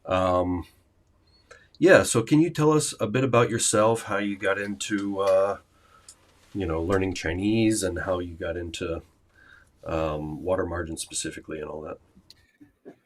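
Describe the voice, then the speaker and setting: A man's voice: low voice, a little slow, pauses often. A man hosting a language-learning livestream, chatting casually with many fillers and restarts.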